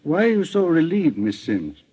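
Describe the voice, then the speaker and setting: An actor playing a courteous, authoritative private investigator in a 1940s radio drama, speaking in formal, measured questions. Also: male voice, on the high side, big pitch swings, dark tone, breathy edge. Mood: polite, probing. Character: composed, courteous older male private investigator, probing and authoritative. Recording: dull old-time radio broadcast recording.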